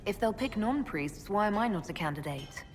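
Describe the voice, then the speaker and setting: A woman's voice: mid-range voice, expressive, moderate pace, in a large hall, lightly acted. An actress playing a young female elf mage who leads an army in a fantasy game, direct and composed. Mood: questioning, direct. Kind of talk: dramatic video game dialogue.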